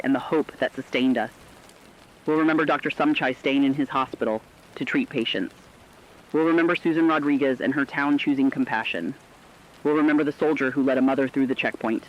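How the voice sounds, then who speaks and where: A man's voice: mid-range voice, steady tone, fairly quick, lightly acted. A man playing a solemn, earnest radio commentator, giving rhetorical scripted commentary during an emergency broadcast. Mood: solemn, moved. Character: solemn, humane male radio commentator in an apocalypse. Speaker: radio co-host. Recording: dull, lo-fi radio sound.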